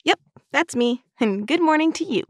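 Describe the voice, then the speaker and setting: A woman's voice: sits high, lively intonation, moderate pace, a little hiss or hum. An actress playing a chatty, uneasy teenage girl in an audio drama, talking in a casual, wry, questioning way. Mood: polite.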